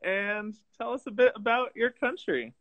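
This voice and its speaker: A man hosts a relaxed conversation in a bright voice. He is giggly and reacts to his guest with ready amazement. The recording is dry and close. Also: very high voice, moderate pace, no echo. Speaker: host. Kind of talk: casual chat, reacting to a guest.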